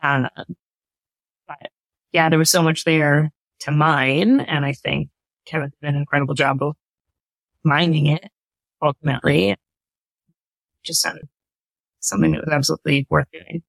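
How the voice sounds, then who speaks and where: A woman's voice: sits low, fairly even intonation, normal speed, gravelly, long pauses, no room sound, poor audio. A woman giving casual interview answers as a director, telling things in an informal, anecdotal way. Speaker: interview guest, drama director.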